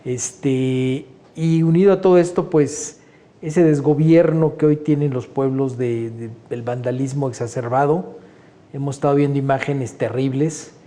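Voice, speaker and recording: A man's voice: mid-range voice, steady tone, measured pace, warm tone. A man in his sixties from Honduras, raised in Mexico, with a Mexican accent, giving opinionated, conversational answers full of fillers. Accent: Mexican Spanish. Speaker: guest painter. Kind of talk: interview answers.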